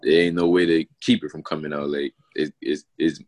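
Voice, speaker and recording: A man, a rapper from Newburgh, New York, talking casually in an interview with a naturally deep voice, full of slang and "you know what I mean" fillers. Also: little pitch movement, fairly quick, darkish tone. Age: adult, not young. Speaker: rapper guest.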